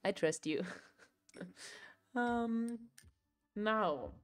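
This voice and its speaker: A young woman talks loosely through a crafting livestream at an ordinary pitch. She rambles and scatters "like" and "um" through her sentences, laughing often between thoughts. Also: lively intonation, very slow, clear, resonant tone. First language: German. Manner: chatty, rambling, filler-heavy, laughs often. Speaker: livestream host. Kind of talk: casual chat while crocheting.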